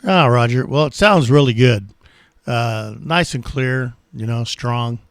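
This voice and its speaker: A man's voice: mid-range voice, unhurried, clean tone, no room sound. Man chatting on ham radio, close and dry. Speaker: amateur radio operator and channel host. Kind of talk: casual ham radio contact chat.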